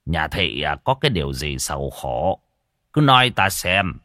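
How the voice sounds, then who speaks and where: A man voicing a kindly older village official in a storybook, halting and gently questioning in old-fashioned rustic speech. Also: a bass voice, very animated, dry recording, clean studio sound, pitched below the performer's own voice, heavily acted. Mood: concerned.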